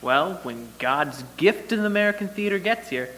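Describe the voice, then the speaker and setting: A man's voice: high voice, lively intonation, normal speed, a bit bright. Man playing a grumpy radio sound man, swooping delivery. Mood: grumbling, sarcastic. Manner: wisecracking, grumbling. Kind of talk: old-time radio comedy acting on stage. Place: stage in a hall, live audience performance. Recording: echoey hall reverb, hissy background noise.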